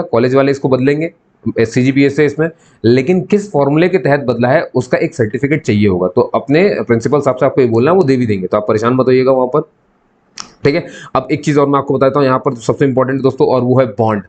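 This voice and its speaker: A man's voice: mid-range voice, a little mellow, dull audio. A man giving an informal explainer to viewers as a channel host, instructive, repeating key points and posing questions that he then answers.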